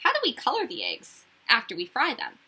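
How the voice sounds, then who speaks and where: A polite, naive young girl from a storybook, read by a woman. She sounds puzzled and questioning, in a bright, high voice with a little huskiness. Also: huge pitch swings, a little fast, breathy edge, full character voice, rough recording.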